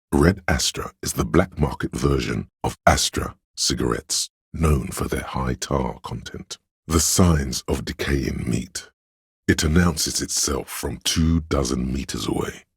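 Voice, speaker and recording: A man voicing an erudite inner voice full of trivia in a video game, deep, gravelly and husky, narrating deliberately with dry pedantry. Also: dry recording, heavily acted. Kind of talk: measured game narration addressing the listener.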